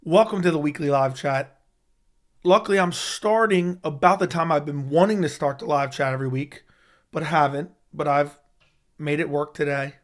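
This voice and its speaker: A young man talks straight to his stream viewers in a voice worn hoarse, sitting a touch higher than most men's. He gets worked up fast and swears freely, jumping between griping and excitement as he reacts to what he reads. It sounds like a casual home livestream on a desk mic. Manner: excitable, profane, reacting to news live. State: losing his voice. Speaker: MMA YouTube host.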